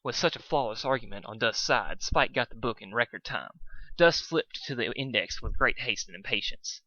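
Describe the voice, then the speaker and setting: A man's voice: medium pitch, animated, moderate pace, pushed and bright, no room sound, muffled recording. A man, an amateur reader who talks casually and stumbles in a self-deprecating way. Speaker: amateur fan fiction reader. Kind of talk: casual rambling introduction and informal story reading.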